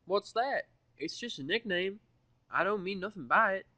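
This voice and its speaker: A man's voice: sits high, lively intonation, moderate pace, sharp tone, dry recording, pitched above the performer's own voice. A man voicing a friendly, sheepish country mare from a farm, speaking in a casual, folksy way in a fan fiction audio drama. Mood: perplexed.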